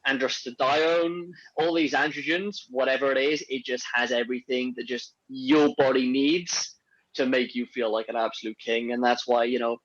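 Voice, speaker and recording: A man's voice: medium pitch, fairly even intonation, moderate pace, a bit bright, no echo, muffled recording. A man chatting casually about health supplements, rambling with many restarts and fillers. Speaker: podcast guest on men's health. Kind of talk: casual conversation.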